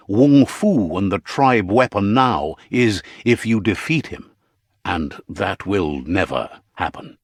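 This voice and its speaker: A man playing the storyteller of a fantasy video game, narrating in a measured, dramatic, fable-like way with a husky voice. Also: lowish voice, no room sound, studio quality. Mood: ominous storytelling.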